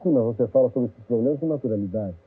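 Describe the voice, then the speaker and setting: A man with a Brazilian Portuguese accent, asking interview questions in a brisk, direct way. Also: medium pitch, slightly rough, dry recording, soft background music. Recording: lo-fi 1980s TV broadcast with phone-like band.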